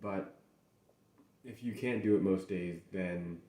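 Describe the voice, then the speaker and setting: A young man in casual solo chat as host, rambling and halting with many restarts, mentions speaking English natively. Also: low-pitched, warm tone. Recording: echoey room.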